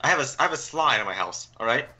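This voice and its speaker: A young man with a bright, sharp voice at an average pitch talks casually during a game. He explains and tells his stories in a halting, rambling manner. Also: lively intonation. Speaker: gaming storyteller. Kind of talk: casual chat while gaming.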